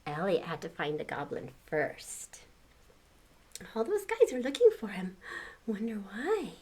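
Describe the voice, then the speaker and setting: Older woman reading aloud, slow and expressive. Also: medium pitch, husky. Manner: exclaims and chats about the pictures, asks questions. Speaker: grandmother reading a picture book to children. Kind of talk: expressive read-aloud storytelling with asides to young listeners.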